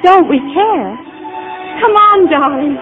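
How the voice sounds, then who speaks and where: An actress playing a gentle woman patient who is recovering and leaving an asylum, in a 1940s radio drama with a soft, earnest delivery. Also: very high voice, expressive, slow pace, heavily acted. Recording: tinny 1940s radio broadcast, faint music.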